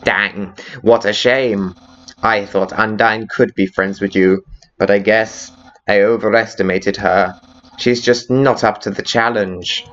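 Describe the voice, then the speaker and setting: A man's voice: lowish voice, fairly even intonation, slow pace, a bit bright. Game fan dub: childlike male skeleton acted by a man, eager, pompous, exclaiming, dull lo-fi recording. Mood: slyly goading. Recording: muffled lo-fi audio with background music.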